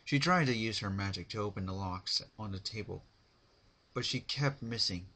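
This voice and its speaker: A man narrating horror fan fiction as a dramatic reading, halting and stumbling over words now and then. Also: lowish voice, pushed and bright.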